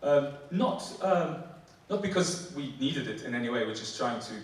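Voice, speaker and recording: A man in his forties with a Polish accent, performing spoken word poetry and joking between poems in halting, filler-heavy banter. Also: mid-range voice, expressive. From Poland. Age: 40s. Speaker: performance poet. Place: theatre stage before a live audience. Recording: echoey hall, mic from a distance. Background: audience laughter.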